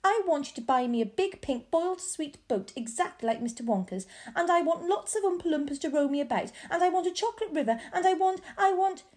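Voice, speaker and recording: A woman's voice: very high voice, expressive, brisk, few pauses, a little mellow, pitched above the performer's own voice. A woman voicing a spoiled, demanding little rich girl in a storybook read-aloud, whining her demands. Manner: whiny, demanding.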